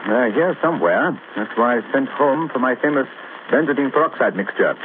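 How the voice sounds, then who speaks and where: An actor playing a self-assured, needling gentleman detective, precise and condescending, with a British accent, in a 1940s radio drama. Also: medium pitch, expressive, a little fast, lightly acted. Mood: probing. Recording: noisy, tinny old radio recording.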